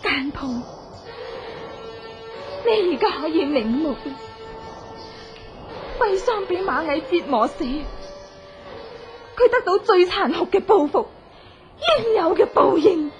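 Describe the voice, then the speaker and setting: High-voiced vengeful widow. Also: female voice, expressive, slow, pauses often, highs cut off, soft background music, heavily acted. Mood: grim satisfaction. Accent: Hong Kong Cantonese. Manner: theatrical, taunting delivery. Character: vengeful widow, cruel and gloating, torturing her husband's killer. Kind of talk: Cantonese radio horror drama acting.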